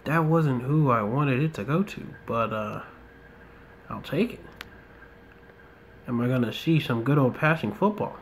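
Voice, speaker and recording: A young man talks casually over a mobile football match, half to himself, slipping into mild rants. His voice sits at a middle pitch for a man. It is recorded on a webcam in a room, with a faint hiss underneath. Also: animated, unhurried, pauses often. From United States. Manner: laid-back, muttering asides, ranting. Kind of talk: relaxed gameplay commentary. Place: indoor room.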